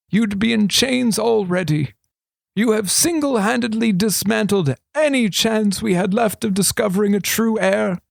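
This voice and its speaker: A man voicing an old male wizard regent who is formal, paternal and dryly playful, speaking slowly and deliberately. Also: high-pitched, gravelly, no echo, pitched above the performer's own voice, exaggerated voice. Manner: slow, deliberate, grandly formal. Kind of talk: audiobook character voice.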